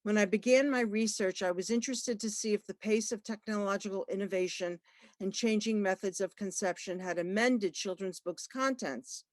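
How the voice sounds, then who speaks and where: A woman librarian giving a talk at an online book launch, halting, with many fillers and restarts. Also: medium pitch, no room sound. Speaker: librarian and researcher. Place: online video call.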